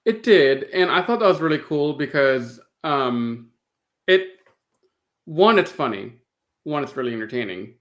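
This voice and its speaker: A man's voice: fairly high pitch, expressive, measured pace. A man hosting a podcast, chatting casually through a review in a thoughtful, appreciative way and rephrasing as he goes. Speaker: podcast host.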